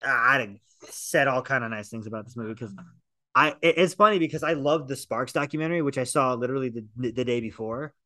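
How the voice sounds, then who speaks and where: A man's voice: mid-range voice, quick pace, bright, forceful tone, no echo, studio quality. A man hosting a film podcast in casual chat, enthusiastic and profane, rambling with restarts. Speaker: podcast host, film reviewer.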